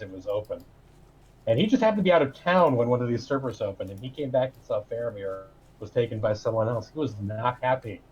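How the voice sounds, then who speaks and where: A man's voice: lowish voice, darkish tone, slightly rough. A man playing in a group online game, telling stories in casual, conversational chat. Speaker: player in a group gaming stream. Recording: dull, lo-fi voice-chat audio.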